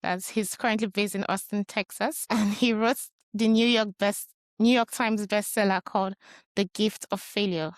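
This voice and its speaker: Woman, podcast host, friendly, mid pitch, dry studio sound. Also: steady tone, normal speed, no room sound. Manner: friendly, laughs often, reads intros. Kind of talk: podcast interview hosting.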